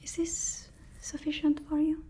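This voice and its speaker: A woman whispering an ASMR roleplay as a deferential, fussy personal assistant to a supervillain, speaking haltingly and repeating her phrases. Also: sits high, completely flat delivery, dark tone. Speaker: ASMR performer.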